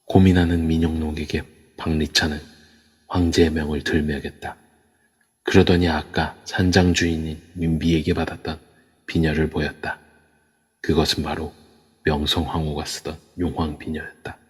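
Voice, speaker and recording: A man narrating an audio drama in an even storytelling manner. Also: very deep voice, normal speed, husky. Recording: echoey long reverb.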